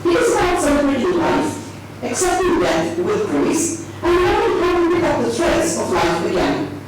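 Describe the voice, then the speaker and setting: A woman's voice: high voice, very animated, normal speed. Woman reading a formal intro at an event, super echoey and far from mic. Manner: formal, reading from a prepared text. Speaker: event presenter. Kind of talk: scripted speaker introduction at a live event. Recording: very echoey, distant, loud music behind.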